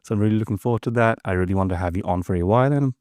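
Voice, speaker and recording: A man's voice: on the low side, very fast, a little mellow, no echo, clean studio sound. A man hosting a podcast, enthusiastic and friendly as he introduces and questions his guest. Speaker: podcast host. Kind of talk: casual interview chat.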